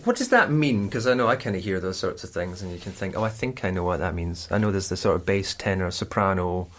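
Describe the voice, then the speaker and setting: A man's voice: low-pitched, expressive, quick pace, fairly bright tone. A man hosting a podcast, thinking aloud and restarting sentences as he searches for words. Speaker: podcast host. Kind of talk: conversational podcast interview.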